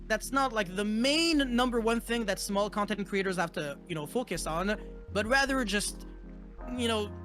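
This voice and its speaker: High-voiced Montreal man with Quebec accent. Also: moderate pace, a bit bright, faint music behind. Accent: Quebec French, Montreal. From Montreal, Canada. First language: French. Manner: loose, filler-heavy with frequent "like" and "you know". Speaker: guest content creator. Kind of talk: casual interview chat.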